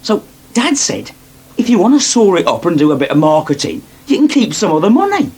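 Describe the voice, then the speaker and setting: An actor playing a teasing, wily farm lad in a radio soap. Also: male voice, high-pitched, big pitch swings, brisk, a little mellow, slightly rough, full character voice. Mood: wily, persuasive. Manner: teasing, sly, needling. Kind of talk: British radio soap acting.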